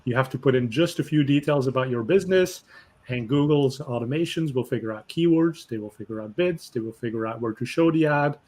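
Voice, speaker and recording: Male host, mid-pitch, upbeat and chatty, fillers here and there. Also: brisk. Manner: energetic, conversational, with fillers. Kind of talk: webinar hosting.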